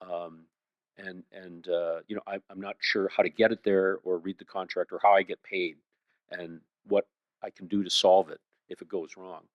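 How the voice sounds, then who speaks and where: A man with a Canadian accent speaking at a conference in a measured, conversational way as he answers questions. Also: low-pitched, level tone. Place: indoor conference room. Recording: roomy, dull lo-fi sound.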